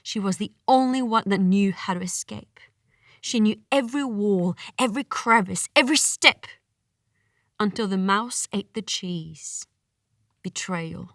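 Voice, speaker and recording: An actress playing a solemn chorus narrator in a poetic tragedy, speaking in measured, emphatic short phrases. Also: female voice, mid-range voice, a bit bright, no echo, clean studio sound. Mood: solemn, grave. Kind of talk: poetic scripted narration, audio drama.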